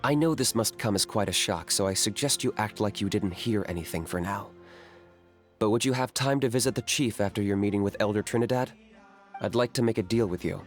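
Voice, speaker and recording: A man as a low-voiced, coolly blunt game character. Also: soft background music, close to an everyday voice. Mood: composed, serious. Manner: matter-of-fact, unhurried, dry. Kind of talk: video game character acting.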